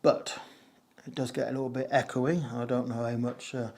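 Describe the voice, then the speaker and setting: A man with a slightly hoarse voice, talking casually to camera about his audio setup, with many fillers and self-mocking jokes, recorded on a wireless lapel mic in a somewhat echoey conservatory. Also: mid-range voice, little pitch movement, moderate pace, darkish tone. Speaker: video creator explaining audio gear. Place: conservatory used as a home studio, a little echoey.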